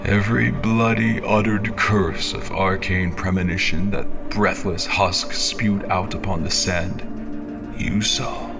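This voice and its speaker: A man's voice: low voice, fairly even intonation, slow pace, vocal fry, over music, full character voice. A man playing an obsessed, menacing, half-mad champion in a theatrical monologue, grandiose and brooding, with whispery breaths. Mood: brooding, awed.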